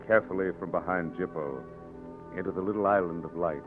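A man narrating a 1940s radio drama in a solemn, portentous manner, with deliberate phrasing. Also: low-pitched. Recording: tinny 1940s radio recording, music behind.

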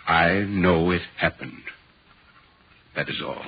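Solemn troubled writer narrating old radio drama, lowish voice, muffled with some hiss. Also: male voice, takes it slowly. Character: grave, troubled Victorian male writer narrating a tragedy. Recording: muffled, hissy 1940s radio broadcast.

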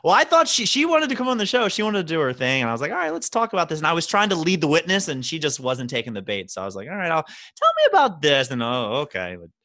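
Podcast guest guy, talks fast and bouncy, pitch jumps all over, dry close mic. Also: no room sound. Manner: animated, quick storytelling. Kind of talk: casual podcast chat. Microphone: podcast microphone.

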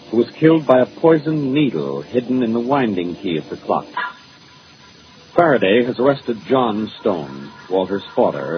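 A man's voice: medium pitch, background noise. A man narrating as an announcer in scripted 1940s radio mystery style. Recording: muffled old radio recording. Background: music.

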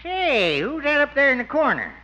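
High-pitched befuddled old man. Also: huge pitch swings, normal speed, sharp tone, heavily acted. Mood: befuddled, cheery. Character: befuddled, nearsighted old small-town friend called Doc. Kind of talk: 1950s radio comedy acting. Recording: noisy, muffled old radio recording.

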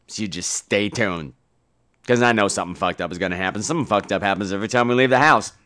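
A man's voice: fairly low pitch, fast, bright, forceful tone. A man hosting a podcast, chatting casually in a campy, sarcastic, profane way as he reacts to messages. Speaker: podcast host. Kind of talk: casual chat, reacting to voicemails.

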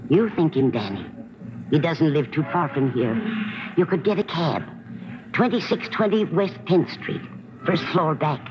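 A coaxing flower seller speaks fondly in a 1950s radio crime drama. The vintage recording is muffled, with plenty of noise. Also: female voice, sits low, lively intonation, unhurried. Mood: urgent, fond. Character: coaxing old flower seller woman, affectionate informant.

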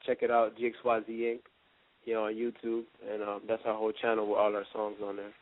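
A man's voice: on the low side, monotone, fairly quick. A man in his early twenties from the Dominican Republic, a rapper chatting casually as an interview guest over a phone line. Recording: tinny, narrow phone line.

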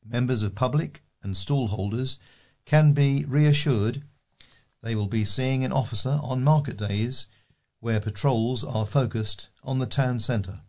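A man's voice: medium pitch, measured pace, darkish tone. A man with a British accent reading local newspaper articles aloud as a volunteer newsreader.

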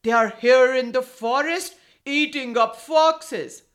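A woman voicing a sly, threatening male fox in a children's story, loud and pleading when scared. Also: sits high, slow pace. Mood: alarmed.

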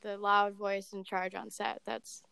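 A woman in her twenties, a podcast guest, chatting casually about her work in a level, monotone way. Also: mid-range voice, a little slow, vocal fry, a crowd in the background. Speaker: podcast guest, assistant director. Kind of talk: casual chat.

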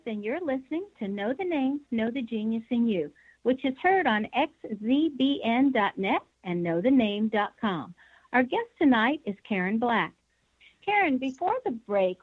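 A woman hosting a radio talk show, asking her guest questions in a measured way. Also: a little high, slightly rough, no echo. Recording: dry, phone-like sound.

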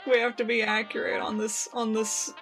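A woman's voice: on the high side, level tone, moderate pace, vocal fry, a bit bright, soft background music, poor audio. A woman co-hosting a casual fan podcast, joking in a playful, mock-grandiose way. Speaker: podcast co-host.

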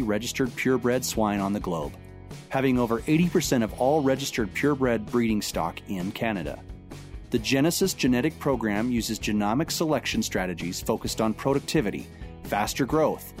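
A man reading scripted sponsor messages for a podcast in a clear announcing delivery. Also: medium pitch. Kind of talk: scripted ad read.

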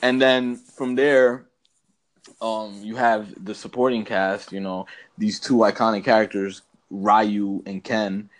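A man's voice: fairly low pitch, level tone, slow. A man co-hosting a podcast, chatting casually in a slangy, blunt way and thinking aloud as he goes. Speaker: podcast co-host. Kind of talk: casual banter, ranting movie review.

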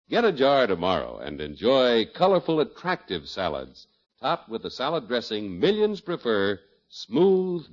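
A man's voice: on the low side, husky. A man with an American accent working as a radio announcer, emphatic and salesmanlike in polished 1950s advertising style. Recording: muffled vintage 1950s radio recording.

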